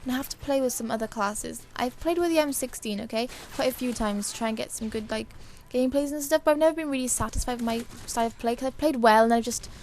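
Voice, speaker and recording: A woman gives loose, chatty game commentary in a rather high voice that sounds dry and hoarse. There is plenty of fry in it, and a faint noise sits behind her. She rambles along informally, as if talking straight to her viewers. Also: fast, few pauses, a little mellow. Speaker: gaming video creator. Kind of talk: casual gameplay commentary.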